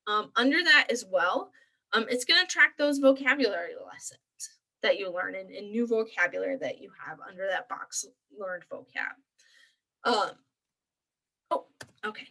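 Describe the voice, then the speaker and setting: Bright upbeat woman librarian. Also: medium pitch, animated, normal speed, vocal fry, pauses often, low-quality recording. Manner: chatty, many "um" fillers, upbeat explaining. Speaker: librarian presenting a tutorial. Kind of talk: screen-share walkthrough.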